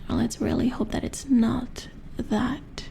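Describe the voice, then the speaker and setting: Female engineer played by a woman for ASMR robot-repair roleplay; mellow, very creaky whisper, unhurried, mildly curious, thinking aloud. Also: a little high, steady tone, exaggerated voice. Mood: matter-of-fact, explaining. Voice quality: breathy whisper with creaky edges. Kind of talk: soft-spoken ASMR roleplay.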